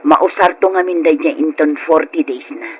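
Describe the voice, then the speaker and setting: A woman's voice: deep voice, fairly even intonation, moderate pace, a little mellow, breathy edge, muffled recording. An actress playing a meek, grieving middle-aged widow and mother in an old-time Ilocano radio drama, plaintive and hesitant. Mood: subdued, hesitant.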